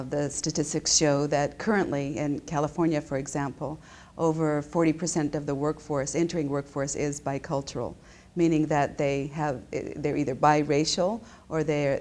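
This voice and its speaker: A woman's voice: deep voice, a little mellow. A woman in her fifties who grew up in Japan, a business school professor answering interview questions in an explanatory way, often restarting her sentences. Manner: explanatory, with frequent restarts and fillers. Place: indoor office.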